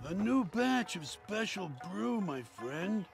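Slow, gravelly hippie van. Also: male voice, high voice, very animated, over music, exaggerated voice. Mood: mellow, spacey. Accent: American. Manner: laid-back, drawn-out. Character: mellow, spacey hippie camper van, male.

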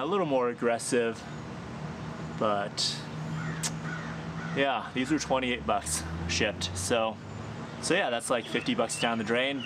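Man, 30s, casual chatty gear talk, mid-pitched voice, backyard with audible outdoor noise and traffic. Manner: chatty, thinking aloud with fillers and small laughs. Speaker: hiking vlogger.

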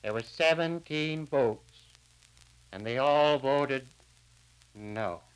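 Actor playing small-town man, solemn verdict delivery, plain-spoken, deliberate pauses, vintage radio disc crackle. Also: medium pitch, clear, resonant tone, lightly acted. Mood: solemn, hopeful. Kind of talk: 1940s radio drama acting.

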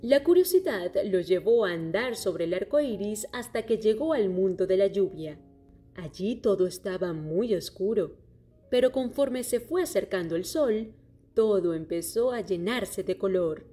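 A woman reading a children's story aloud in a clear, steady storytelling manner. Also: mid-range voice, unhurried. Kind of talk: scripted children's story narration. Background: soft music.

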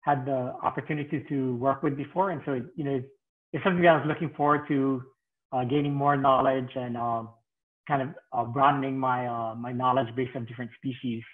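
A man's voice: mid-range voice, steady tone, fairly quick. A middle-aged man from Hawaii, a field veterinarian presenting over a video call, hesitant with many fillers. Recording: tinny phone-like call audio.

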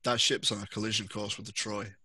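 A man working as game master, narrating a tabletop horror game in halting pieces on a headset. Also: on the low side, flat delivery, sharp tone, breathy edge. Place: indoors, on a video call.

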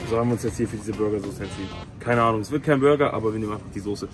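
A man's voice: on the low side, fairly even intonation, racing. A young man chatting casually and colloquially to a camera. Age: young adult. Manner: slangy, colloquial. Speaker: vlog participant. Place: supermarket and outside it. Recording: music running under the voice.

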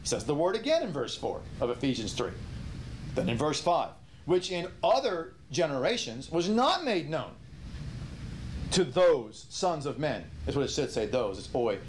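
A man's voice: fairly high pitch, sing-song, moderate pace, a little hiss or hum, rough recording. A middle-aged man teaching a Bible study in a small room, emphatic, firing rhetorical questions and restarting his sentences. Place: small indoor room with whiteboard.

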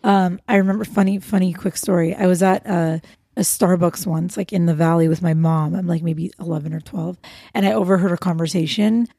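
A woman's voice: lowish voice, steady tone, fairly quick, mellow, dry recording, clean studio sound. A woman film producer from Los Angeles, chatting eagerly as a podcast guest, with many fillers and restarts. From Los Angeles, USA. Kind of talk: casual interview chat.

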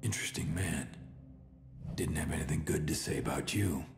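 A man's voice: very deep voice, moderate pace, vocal fry, faint music behind. A man playing a cold, sceptical interrogator in a game cutscene, controlled and probing. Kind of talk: cinematic dramatic dialogue.

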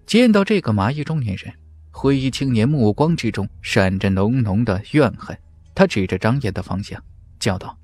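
A man's voice: mid-range voice, lively intonation, moderate pace, a little mellow. A man reading scripted fantasy narration dramatically as an audiobook narrator. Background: background music.

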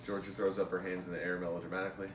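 A man's voice: low-pitched, level tone, racing. A young man reading aloud from a script in a room at home, picked up by a camera a few feet away. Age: young adult. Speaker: amateur reader of stage directions and a part. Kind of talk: script read-through. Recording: distant echoey room mic, clear noise.

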